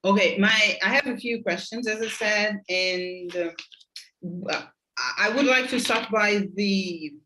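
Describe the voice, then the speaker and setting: A woman's voice: medium pitch, slow pace, bright, forceful tone, slight background noise, rough recording. A woman with a Brazilian accent asking questions conversationally from the audience on a video call.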